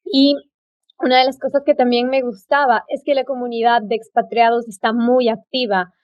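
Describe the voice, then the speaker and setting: A woman's voice: on the high side, flat delivery, moderate pace, lo-fi sound. A woman with an Ecuadorian Spanish accent hosting a casual travel conversation, effusive and given to emphatic repetition. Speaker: podcast host.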